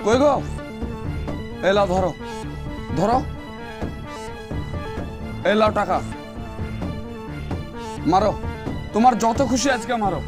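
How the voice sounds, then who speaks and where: A man's voice: high-pitched, slow, long pauses. Guy acting as henpecked village husband, plaintive and grumbly, music playing under him. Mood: resigned, pleading. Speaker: actor. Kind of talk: amateur family drama acting.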